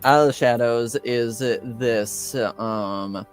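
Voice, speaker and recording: A man's voice: medium pitch, drawn-out words, faint music behind. A man improvising tabletop game narration as game master, hesitantly searching for his words.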